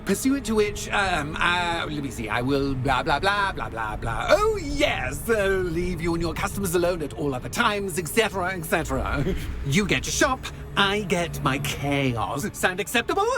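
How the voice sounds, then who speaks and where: An actor playing a theatrical, mischievous poltergeist trickster in a video game, gleeful and taunting, with a flamboyant, mock-pompous delivery. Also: male voice, high-pitched, sing-song, moderate pace. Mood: scheming, gleeful. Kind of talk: video game character acting. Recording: loud music under the voice. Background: game music.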